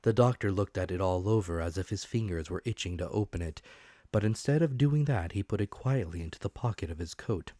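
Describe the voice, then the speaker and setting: A man's voice: low-pitched, brisk, mellow, no echo, studio quality. A man reading a classic adventure story as an audiobook narrator, with dramatic scripted delivery.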